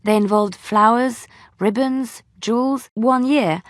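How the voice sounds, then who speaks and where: A woman's voice: a little high, takes it slowly, no room sound, clean studio sound. An actress voicing a devout, playful young woman adventurer in a video game, sincere and chatty in manner. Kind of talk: video game character dialogue.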